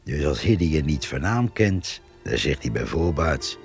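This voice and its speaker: An actor in a radio play playing a knowing countryman who explains things deliberately, pausing as he goes, in a gravelly voice. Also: a bass voice, sing-song, over music, full character voice. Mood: measured, matter-of-fact. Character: measured, knowing countryman describing a farmer.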